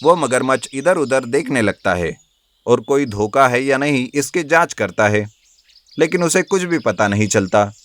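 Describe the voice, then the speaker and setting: A male storyteller narrates a scripted fable for children. His pitch is average for a man. The delivery is that of read-aloud storytelling. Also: expressive, unhurried, a bit bright.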